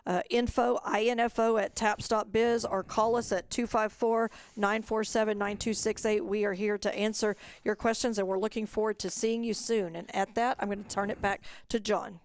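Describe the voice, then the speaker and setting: Medium-pitched woman on a webinar panel. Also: level tone, normal speed, few pauses.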